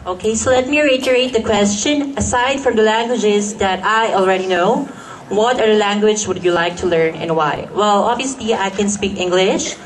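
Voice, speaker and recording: A teenage voice with a Filipino accent, feminine and mid-pitched, answering a pageant question. The delivery is poised and formal, shaped like a rehearsed speech. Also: female voice, normal speed, rough recording. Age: teens. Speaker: pageant contestant.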